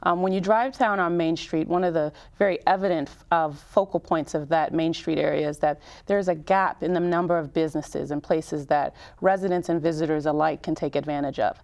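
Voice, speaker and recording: Low-voiced woman mayor, measured and explanatory. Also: steady tone, darkish tone. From Cameroon. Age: 30s. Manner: measured, explanatory, with emphatic lists. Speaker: town mayor, interview guest. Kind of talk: public-affairs interview.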